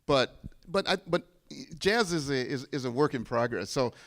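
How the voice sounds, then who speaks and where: A man's voice: mid-range voice, lively intonation, moderate pace, fairly bright tone. A middle-aged man, a bandleader and composer, talking casually and animatedly to a live audience, often restarting his sentences.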